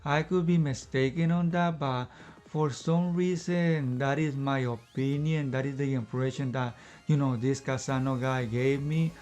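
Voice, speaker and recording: A man's voice: mid-range voice, steady tone, a little mellow. Rambling man, a bit out of breath. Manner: rambling, fills pauses with "you know" and "I guess you can say". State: slightly out of breath. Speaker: anime reviewer. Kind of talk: casual unscripted review in one take.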